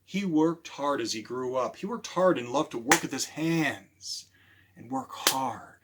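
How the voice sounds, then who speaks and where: A man hosting a library storytime for children, animated and speaking directly to his listeners as he presents and tells stories. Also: medium pitch, measured pace, heavy background noise. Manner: animated, repeats key phrases, addresses listeners directly.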